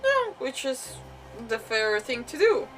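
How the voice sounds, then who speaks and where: A woman's voice: sits high, big pitch swings, slow pace, fairly bright tone, far from the mic. A woman game streamer giving casual live commentary, exclamatory and often stumbling as she reads aloud. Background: game music.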